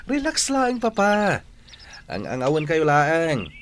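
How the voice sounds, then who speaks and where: A man's voice: a little high, huge pitch swings, slow pace, exaggerated voice. A man acting a cocky young playboy son in a radio drama, teasing and nonchalant. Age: young adult. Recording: birdsong behind, faint noise.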